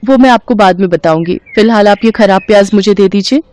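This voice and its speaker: A woman's voice: mid-range voice, fairly quick, a little mellow, natural voice. A woman voicing a poor, devoted, polite young wife and mother-to-be in a moral story, with an earnest, pleading manner. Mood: polite, friendly. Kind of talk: animated moral-story dialogue.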